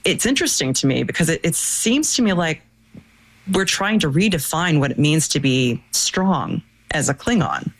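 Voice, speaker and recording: A man's voice: fairly high pitch, lively intonation, normal speed, fairly bright tone, poor audio. A man interviewing in a conversational, thoughtful way, laying out analytical points and checking them as questions.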